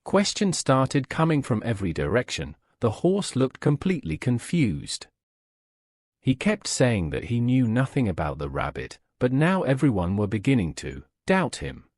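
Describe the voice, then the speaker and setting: A man's voice: mid-range voice, huge pitch swings, moderate pace, a little mellow, dry recording, clean studio sound. A man narrating a scripted moral story as a storyteller.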